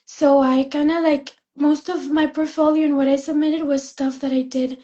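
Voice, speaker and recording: A young woman from Spain, a fashion student, chatting in an interview, soft-spoken with many fillers and restarts. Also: high voice, level tone, normal speed, lo-fi sound. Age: young adult. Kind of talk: casual interview chat.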